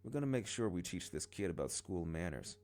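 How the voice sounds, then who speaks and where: A man's voice: low voice, animated, fairly quick, a little mellow. A man voicing a cocky older schoolboy bully from a storybook, slangy, taunting and condescending. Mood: menacing condescension. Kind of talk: storybook character dialogue. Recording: guitar music behind the voice.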